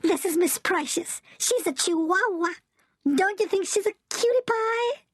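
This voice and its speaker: Man putting on a squeaky, sugary American girlfriend. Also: animated, unhurried, fairly bright tone, dry recording, studio quality, exaggerated voice. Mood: sugary, gushing. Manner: cutesy, gushing. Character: gushing, sugary, ditzy pink-haired American girlfriend.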